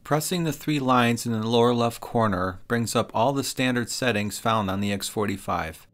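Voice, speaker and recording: A man's voice: mid-range voice, normal speed, fairly bright tone. A man presenting a product review as scripted, step-by-step narration. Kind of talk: scripted narration of a gear demonstration.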